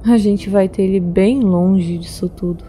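Woman plays anxious caring young woman, stammering, hesitant, soft music under, audio drama. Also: medium pitch, steady tone, unhurried, close to an everyday voice.

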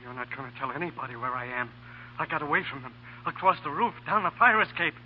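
An actor playing a desperate, paranoid, weary escaped prisoner, agitated, in 1940s radio drama style. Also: male voice, on the high side, fast, a little hiss or hum, close to an everyday voice. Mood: frantic, threatening. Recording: muffled, humming 1940 radio recording.

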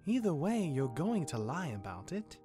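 A man playing a sardonic, awkward immortal demigod older brother, sarcastic and halting, in a fantasy game roleplay. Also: fairly high pitch, big pitch swings, mellow, soft background music. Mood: defiant, sardonic. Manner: sarcastic, halting when flustered.

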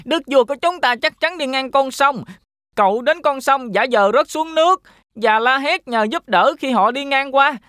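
Lady doing a clever lil cartoon cat, really high voice, sure of itself, kinda boastful, kids fairy tale. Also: normal speed, fairly bright tone, no echo. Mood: instructing. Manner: self-assured, persuasive. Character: clever, confident talking cat in boots, boastful servant.

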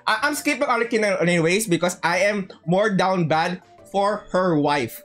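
A man chatting casually on a gaming livestream in an excitable, slangy way, often repeating his words. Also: sits high, moderate pace, faint music behind.

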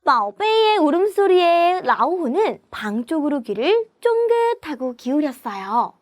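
A woman telling a story as a children's language teacher, with clear, deliberate teaching and repeat-after-me prompts. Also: high voice, big pitch swings, no room sound, clean studio sound. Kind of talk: animated storytelling lesson for young children.

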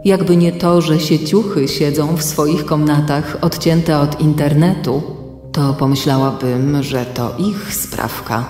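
A woman playing a calm, concerned internet guardian in a children's radio play, explaining things earnestly. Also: low-pitched, measured pace. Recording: echoey long reverb, faint music.

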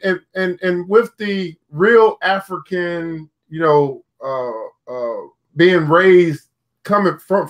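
A man's voice: a little high, a bit bright. A man hosting a video call interview, asking long rambling questions with many restarts. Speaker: show host. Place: home room, indoors.

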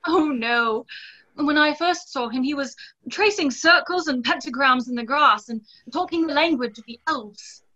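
A woman's voice: high-pitched, moderate pace, pushed and bright. An actress in her twenties playing a dreamy, fanciful young woman in a play reading over a video call. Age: 20s. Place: small indoor room, video call. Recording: dull video-call audio.

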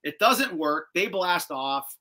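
A man hosting a casual video-call chat, recapping a cartoon episode in an animated, slangy, sometimes profane way. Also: high voice, takes it slowly. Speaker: host. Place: indoor room with shelves of collectibles, on a video call.

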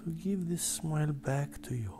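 A meditation guide speaking softly and breathily, often close to a whisper, in a gentle, unhurried manner with long pauses. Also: mid-range voice, creaky. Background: ambient meditative music.

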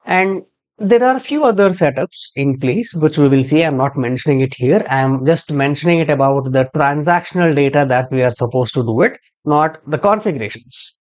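A man giving a screen-share software tutorial as a trainer, explaining step by step and spelling out codes. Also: a little high, lively intonation, normal speed.

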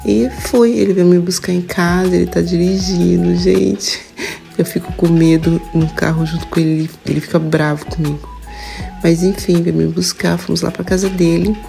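Brazilian lady vlogger chatting casually, low slightly gravelly voice, confiding. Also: fairly even intonation, normal speed, warm tone, faint music behind. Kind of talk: casual vlog narration.

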